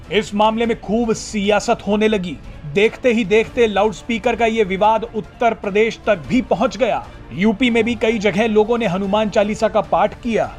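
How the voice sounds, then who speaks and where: A man reading a scripted news report as a narrator. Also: sits high.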